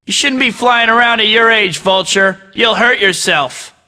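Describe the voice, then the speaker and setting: Wisecracking young superhero. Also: male voice, high-pitched, a little slow, long reverb tail, exaggerated voice. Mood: taunting. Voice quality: bright. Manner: sarcastic, teasing. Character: wisecracking young male superhero, cocky and joking. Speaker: video game hero voice. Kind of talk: quippy game voice clips.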